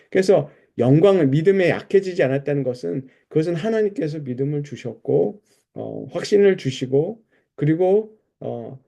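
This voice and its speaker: A man's voice: fairly high pitch, creaky, dark tone. A man preaching in a conversational, explanatory way, walking listeners through scripture and putting questions to them. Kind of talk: expository Bible sermon, conversational teaching.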